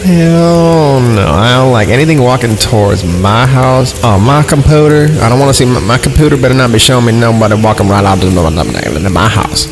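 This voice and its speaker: A man reading horror stories on a podcast, breaking off into irreverent, slangy, sweary joking commentary. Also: mid-range voice. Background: music.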